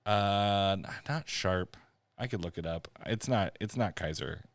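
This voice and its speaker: A man's voice: lowish voice, measured pace, no room sound. A man co-hosting a casual sports podcast, wry and hesitant, with lots of fillers. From San Diego area. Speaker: podcast co-host.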